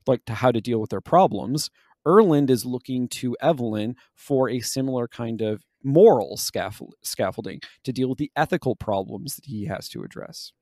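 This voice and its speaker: A man co-hosts a casual, analytical podcast in a medium-pitched voice. The recording is dry, with little room sound. He uses academic wording loosened up with "like" and "you know". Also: normal speed, a little mellow, dry recording. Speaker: podcast host.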